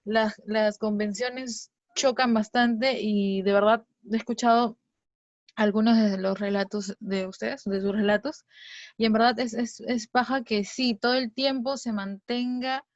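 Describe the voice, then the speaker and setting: A woman's voice: a little high, steady tone, a little slow, dry recording, dull audio. A woman with a Peruvian Spanish accent, chatting casually as an interviewer.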